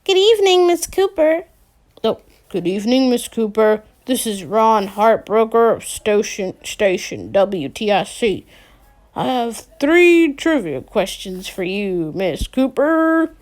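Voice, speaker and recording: Woman doing a shy teen boy faking a big radio announcer voice, high and swoopy. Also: big pitch swings, takes it slowly, a little mellow. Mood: mock-grand. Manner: hammy announcer voice, then meek and unsure. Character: awkward teenage boy doing a pompous radio host bit.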